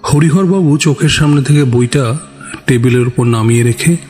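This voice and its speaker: Male story narrator over soft music. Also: mid-range voice, normal speed. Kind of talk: scripted dramatic story narration. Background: background music.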